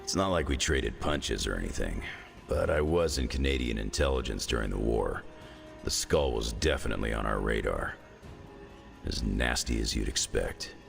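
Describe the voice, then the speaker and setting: An actor playing a gruff, wisecracking clawed mutant veteran in a video game cutscene, with a sarcastic, joking manner. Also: male voice, moderate pace, faint music behind, full character voice. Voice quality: gravelly, very deep.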